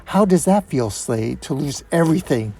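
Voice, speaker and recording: A man voicing a bitter, vengeful woman, a masked villain in a comic-book reading, husky, accusing and taunting. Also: medium pitch, normal speed, a little mellow. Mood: bitter accusation.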